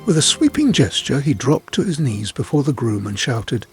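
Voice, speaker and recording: A man tells a folk tale as a dramatic narrator. His delivery is expressive and theatrical, with lively, varied intonation over music. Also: medium pitch, moderate pace. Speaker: storyteller narrator.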